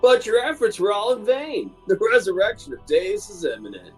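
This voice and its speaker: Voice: medium pitch, sing-song, normal speed, husky, faint music behind, rough recording. A performer voicing a gleeful, taunting theatrical villain in an amateur comedic game fandub. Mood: gleeful, grandiose. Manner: mock-cheerful, grandiose, mocking.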